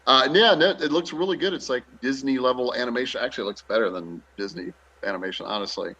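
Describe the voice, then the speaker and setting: A man's voice: mid-range voice, fast, bright, forceful tone, lo-fi sound. A man speaking casually as a show guest, with a joking, self-deprecating manner. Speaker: guest, actor and show producer. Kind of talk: casual chat.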